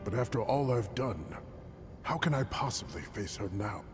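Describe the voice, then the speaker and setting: A man playing a proud, anguished aging military commander in a video game, grave and dramatic, with a gravelly voice. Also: mid-range voice, lively intonation, moderate pace, natural voice. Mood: subdued. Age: older. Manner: grave, dramatic, brooding delivery. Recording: long reverb under music.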